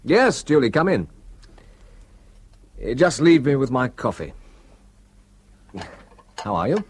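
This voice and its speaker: A polite young Englishman asks probing questions in a radio play. His voice is husky and mid-pitched with plenty of movement. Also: big pitch swings, slow, exaggerated voice. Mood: easy-going. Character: curious young Englishman, visiting brother.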